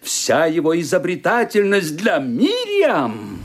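A man giving dramatic scripted narration for a radio play in a theatrical, expressive manner. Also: fairly high pitch, takes it slowly, noisy background.

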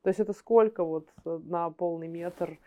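A woman's voice: on the low side, steady tone, moderate pace, dark tone. A woman speaking conversationally as a studio guest in an interview about her creative work. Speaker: animation studio guest, screenwriter and editor.

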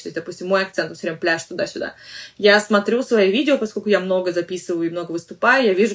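A woman who teaches languages talks through a question on her podcast at a brisk clip. Her voice sits at a middle pitch, and her talk runs loose and chatty, full of fillers and restarts. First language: Russian. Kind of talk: casual podcast monologue.

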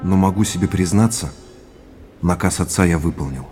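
An actor playing a sincere, grateful heir in an audio drama, speaking in measured, earnest short phrases. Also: male voice, sits low, darkish tone, soft background music, natural voice. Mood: solemn, reflective. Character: Oleg, sincere grateful heir to a family legacy.

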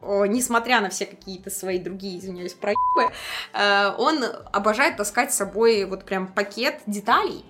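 A woman musician chatting casually as an interview guest, telling lively anecdotes in slangy speech and acting out the dialogue she quotes. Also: medium pitch, normal speed, loud music behind the voice.

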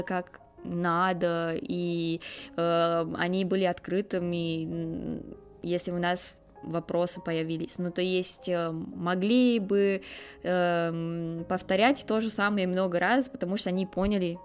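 A woman's voice: fairly low pitch, steady tone, a little slow, soft background music. A woman in her twenties with a Romanian accent answers interview questions conversationally, hesitantly searching for words as a non-native speaker. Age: 20s. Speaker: interview guest, foreign student.

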